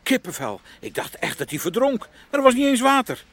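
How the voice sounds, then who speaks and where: An actor playing an earnest, awestruck culture fan in a Dutch radio comedy, raving in short phrases. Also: male voice, very high-pitched, very animated, brisk, a bit bright, no room sound, exaggerated voice.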